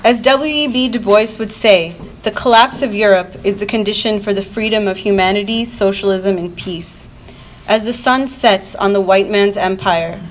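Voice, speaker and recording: A woman reading a prepared political speech at a live event, with earnest, declarative and emphatic phrasing. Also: medium pitch. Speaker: activist panel speaker. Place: university event, speaking to an audience. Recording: noisy live event room recording.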